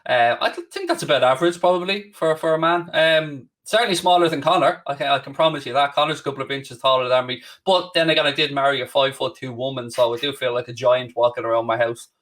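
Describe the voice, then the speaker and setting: A man's voice: medium pitch, fairly even intonation, fast talker, hardly any pauses, a bit bright, distant mic. A man in his late thirties with a Dublin Irish accent, hosting a podcast and answering questions candidly in a rambling, self-deprecating way. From Ireland. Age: late 30s. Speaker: podcast host. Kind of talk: casual chat.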